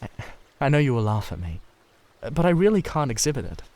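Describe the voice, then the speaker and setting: A man's voice: mid-range voice, sing-song, fairly quick, a little mellow. A man voicing a reserved, sensitive painter, earnest and hesitant, in a dramatised novel reading.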